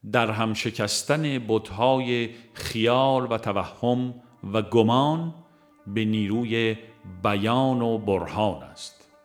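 A man reading a religious audiobook in a measured, formal, devotional way. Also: medium pitch. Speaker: audiobook narrator. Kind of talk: scripted audiobook narration of a religious text. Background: music.

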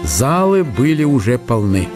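A man's voice: mid-range voice, very animated, measured pace, over music. A man narrating a historical radio play as dramatic literary storytelling.

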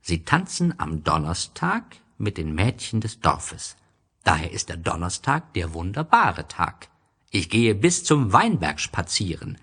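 A man voicing a gentle, wistful male fox in a storybook, speaking earnestly and patiently like a wise teacher. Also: low voice, very animated, a little slow, slightly rough, heavily acted. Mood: explaining.

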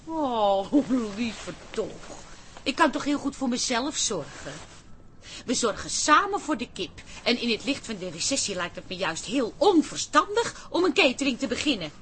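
A woman playing a bossy but caring café wife in a Dutch radio comedy, speaking in a brisk, cajoling, expressive way. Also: on the high side, a little hiss or hum. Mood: tender, practical.

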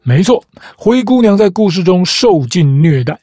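A man hosting a children's show, explaining ideas in a calm, patient, teacher-like way. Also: high voice, moderate pace, no echo, studio quality. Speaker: host, the show's dad figure.

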